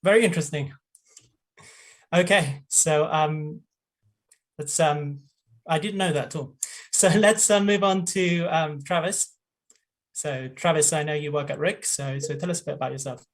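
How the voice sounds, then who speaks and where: A man's voice: on the high side, measured pace, pauses often. Guy hosting a science livestream on a video call, friendly, bit of ums. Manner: friendly, with small laughs and fillers. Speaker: host. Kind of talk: live-streamed science broadcast hosting.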